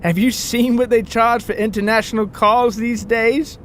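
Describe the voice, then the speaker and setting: A man's voice: very high-pitched, steady tone, normal speed, a bit bright, a little hiss or hum, voice pushed up. A man voicing a wry, affectionate British husband who grumbles, in an audio story with music. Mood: wry, playful.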